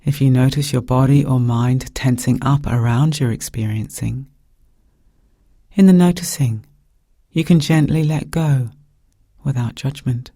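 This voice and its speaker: Mellow, breathy man guiding meditation. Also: medium pitch, dry recording, clean studio sound. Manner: calm, gentle, measured phrasing with pauses. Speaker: meditation guide. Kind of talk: guided meditation narration.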